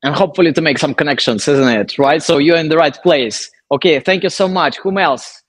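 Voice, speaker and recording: A man's voice: a little high, fairly quick, no room sound. A man hosting a startup workshop, calling on audience members and encouraging them to speak. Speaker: workshop host.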